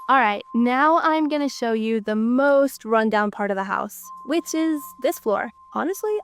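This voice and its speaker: Congested woman giving an excited house tour. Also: high-pitched. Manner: chatty, exclaims often in amazement. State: congested from a cold. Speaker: urban explorer vlogger. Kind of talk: casual guided tour narration. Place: abandoned house, indoors.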